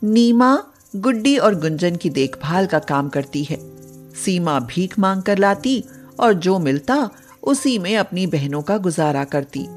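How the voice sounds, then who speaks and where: A woman's voice: on the low side, animated, unhurried, darkish tone, faint music behind. A woman narrating a scripted moral bedtime story as a storyteller.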